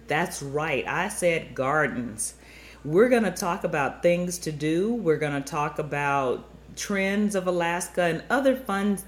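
A middle-aged woman hosting a travel show, stuffy-nosed, chatting upbeat and rambling straight to her listeners. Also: low-pitched. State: stuffy nose. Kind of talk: chatty podcast monologue.

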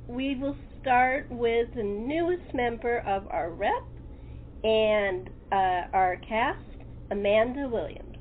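A woman hosting a casual cast interview as the director, speaking in a halting way. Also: fairly high pitch. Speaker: host and radio play director. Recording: tinny phone-like line with noise.

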